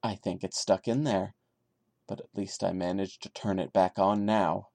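A man voicing the horrified young male narrator of a creepypasta, reading it dramatically in a grave, deliberate way. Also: low-pitched, steady tone, gravelly, darkish tone, dry recording, voice pushed down.

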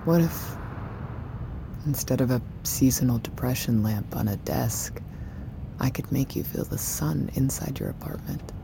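A woman's voice: very deep voice, expressive, measured pace, creaky, noisy background, heavily acted. An actress playing an intense, demanding woman who runs a tech company, in a naturalistic audio drama. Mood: musing, visionary. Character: driven, imperious woman running a tech company.

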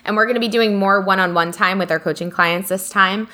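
Bright-voiced woman co-hosting a casual podcast. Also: mid-range voice, steady tone, fairly quick. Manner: informal, candid, peppered with "like". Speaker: podcast co-host. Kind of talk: casual conversational podcast chat.

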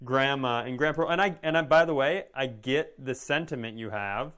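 A man's voice: medium pitch, normal speed, fairly bright tone, clear, resonant tone. A man co-hosting a comedy podcast, telling rambling, wry stories in casual chat.

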